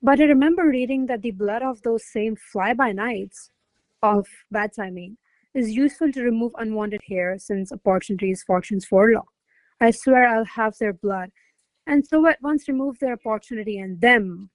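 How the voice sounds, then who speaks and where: An actress playing a haughty, quick-witted noblewoman in a classical comedy radio play, imperious, formal and articulate. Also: a little high, no room sound, natural voice, low-quality recording. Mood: scornful, agitated. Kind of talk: stage-style radio play acting.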